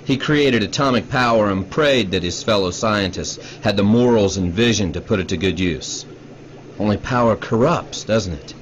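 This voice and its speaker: An actor in a radio drama playing a bitter, sleepless scientist, grave, sardonic and accusing, bent on revenge. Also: male voice, fairly low pitch, normal speed, close to an everyday voice. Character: bitter, sleepless male scientist, grimly vengeful. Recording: dull radio drama recording, faint noise.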